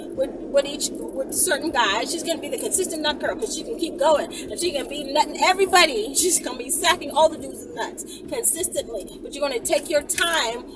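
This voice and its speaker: High voiced woman vlogging from her car, sassy and super animated, road noise, mic a bit far off. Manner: sassy, emphatic, repeats phrases for emphasis. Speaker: vlogger answering viewer questions. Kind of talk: casual, candid chat to camera. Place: inside a car, often while driving. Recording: noisy car interior, slightly distant mic. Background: vehicle and road noise.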